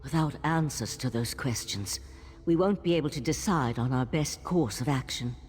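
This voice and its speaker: A woman voicing a composed, inquisitive mage of an ancient monastic order in a fantasy game, measured, formal and articulate. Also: extremely low pitch, lively intonation, a little mellow, slightly rough, exaggerated voice. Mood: grave, analytical. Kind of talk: scripted fantasy game dialogue. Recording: long reverb, faint background noise.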